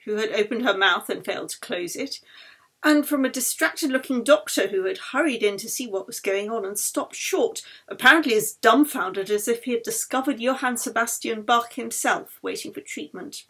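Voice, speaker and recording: A woman narrates literary fiction in a fairly high voice with a slightly husky, breathy edge. She reads from a script as an expressive storyteller, shaping the prose like an audiobook. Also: normal speed. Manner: expressive storytelling, acts out the dialogue. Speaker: author reading own novel aloud.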